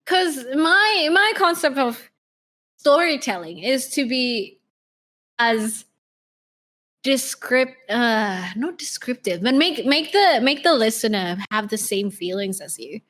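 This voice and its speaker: Podcast co-host, 20s woman, Australian-American accent, high and animated. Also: slow. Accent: Australian mixed with American. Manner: swearing, laughing often, lively banter. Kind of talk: casual chat.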